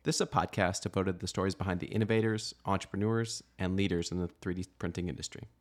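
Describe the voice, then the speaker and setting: A man hosting a podcast, reading a scripted intro and guest bio in a brisk announcer style. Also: low voice, fairly even intonation. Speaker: podcast host. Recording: voice over guitar music.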